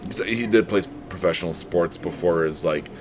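A man's voice: deep voice, normal speed, background noise. A man co-hosting a podcast in a restaurant, rambling casually with crude jokes and many fillers. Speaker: podcast co-host. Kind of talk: casual chat. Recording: podcast recorded in a restaurant with music behind.

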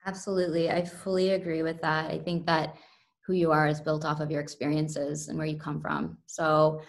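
A woman's voice: low-pitched, little pitch movement, normal speed. A young woman from the United States, a podcast guest, talking earnestly and reflectively with frequent restarts. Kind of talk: interview conversation.

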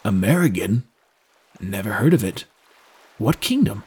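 A man's voice: on the low side, very animated, a little slow, a little mellow, slightly rough, pitched below the performer's own voice, exaggerated voice. A man voicing a watchful, superstitious sailor in an audiobook, plain-spoken and easygoing but wary. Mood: curious. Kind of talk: audiobook character voice.

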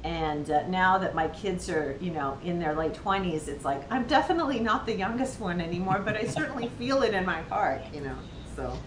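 A woman's voice: lowish voice, animated, a little fast, few pauses, reverberant, background noise. A woman in her fifties, a composer guest, chatting casually in an interview and laughing easily. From US, of Latvian parents.

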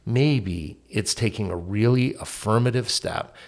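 A man with a low, gravelly voice speaks reflectively on a casual faith podcast. He tells a story and pauses on hesitant fillers as he searches for his words.

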